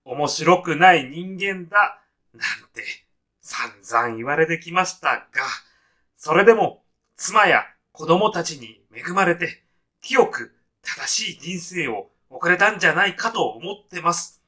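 A man's voice: fairly high pitch, animated, unhurried, bright, forceful tone, full character voice. A man playing a timid, straitlaced salaryman in a comic radio sketch, speaking politely, hesitantly and with reserve. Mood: meek, earnest. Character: timid, straitlaced salaryman newly arrived in heaven.

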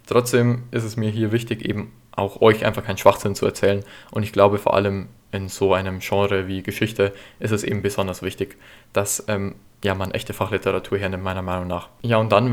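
Nineteen-year-old male podcaster, easygoing solo chat, slightly low voice, filler-heavy delivery. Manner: relaxed and chatty, many fillers. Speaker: history podcast host. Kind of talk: casual solo podcast answering listener questions.

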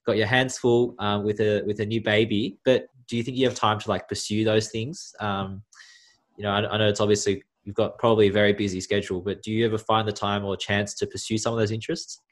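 A man hosts an interview podcast. His voice is low, and he frames his questions carefully, with gentle hedges. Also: level tone, fast. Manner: thoughtful, hedging questions. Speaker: podcast host.

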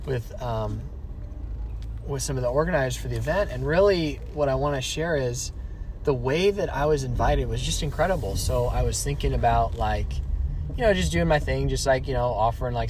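A man's voice: medium pitch, fairly quick. A young man from the Bay Area practicing a speech aloud, hesitant and full of fillers and restarts. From San Francisco Bay Area, California. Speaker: app founder practicing a speech. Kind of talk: rehearsed speech practice, casual. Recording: distant mic, loud traffic noise.

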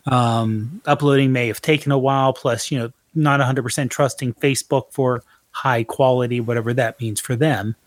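A middle-aged man chats casually as a podcast co-host, circling back and fixing his words mid-sentence. His voice sits at an ordinary pitch and sounds dry and close, with no room around it. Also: fairly even intonation, normal speed, hardly any pauses, no echo. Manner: rambling, self-correcting, chuckles often. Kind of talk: casual chat.

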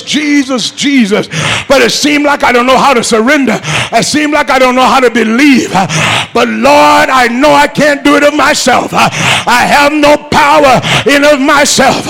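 A man preaching in a church with a very high, gravelly voice. He shouts in slow, stretched-out rhythmic lines, hammering the same phrases over and over. His tone is bright and sharp. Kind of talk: sermon with prayer. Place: church sanctuary.

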